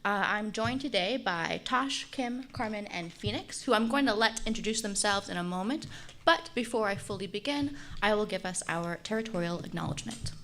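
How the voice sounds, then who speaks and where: Woman panel facilitator from the States, measured and earnest, mid-pitched voice, formal reading. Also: animated, fairly bright tone, slight background noise. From United States. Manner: measured, earnest delivery, switching to French. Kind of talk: reading a land acknowledgement, then moderating.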